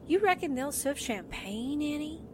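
A woman's voice: high voice, expressive, moderate pace, darkish tone, a little hiss or hum. A woman playing a breezy, slangy, wistful young newspaper sidekick in a 1940s-style radio drama. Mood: wowed, eager.

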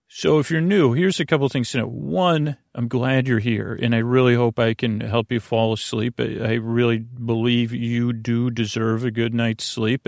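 A man hosting a bedtime podcast in a creaky, soothing voice, meandering hesitantly through tangents and interrupting himself. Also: mid-range voice, no room sound, studio quality. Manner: soothing, lulling. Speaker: podcast host. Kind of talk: rambling bedtime monologue meant to lull to sleep.

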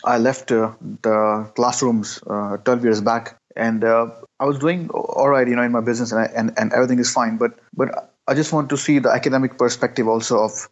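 A man in his thirties from Pakistan, a guest answering interview questions with many pauses, fillers and restarts. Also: medium pitch, steady tone. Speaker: guest, entrepreneur. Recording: dull lo-fi sound.

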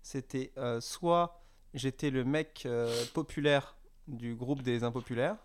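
A young man with a clean, smooth voice at a middle male pitch. He talks casually and slangily, as a podcast co-host chatting with a friend. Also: slow. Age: young adult. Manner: informal, slangy. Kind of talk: casual conversation.

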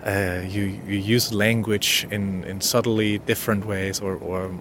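A man's voice: lowish voice, flat delivery, a little slow, a bit bright, a little hiss or hum. A man whose first language is Danish hosts an unscripted solo podcast, talking in a hesitant, intimate way with many restarts. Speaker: podcast host.

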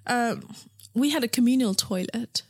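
A woman's voice: fairly high pitch, normal speed, no room sound. Woman, frank and chatty. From Nepal. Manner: frank and chatty, with frequent fillers and restarts. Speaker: interview guest, founder of a period underwear brand. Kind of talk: casual interview conversation.

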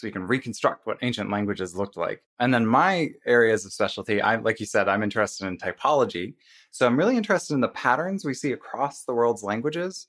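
A man speaking as a guest on a podcast, talking through ideas in a relaxed, explanatory way. His voice sits fairly low. He sounds friendly and unhurried. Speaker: linguist guest. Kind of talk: casual interview explanation.

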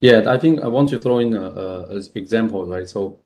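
A young man with a gravelly, dark voice answers interview questions as a startup founder. He speaks haltingly, pausing often and tagging his points with quick questions. His voice sits fairly low. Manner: halting, with frequent pauses and tag questions.

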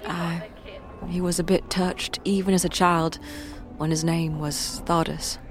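A woman's voice: fairly low pitch, little pitch movement, a little slow, faint music behind, natural voice. A woman playing a young fantasy street worker in an audio drama, guarded yet caring, with street-wise phrasing. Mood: wistful.

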